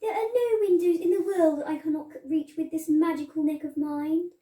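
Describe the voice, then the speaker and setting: A proud lady giraffe from a storybook as a woman acts her out, self-assured and prim. Her voice is very high but soft-edged, heard in an echoey room. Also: fairly even intonation, a little fast. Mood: indignant, proud. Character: proud, haughty, helpful female giraffe.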